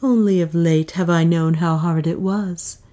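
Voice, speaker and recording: A woman's voice: on the low side, slow pace, mellow. A woman reading a story, voicing an anguished, tender young woman who pleads in impassioned, archaic speech. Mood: sorrowful, tender. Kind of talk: dramatic audiobook storytelling.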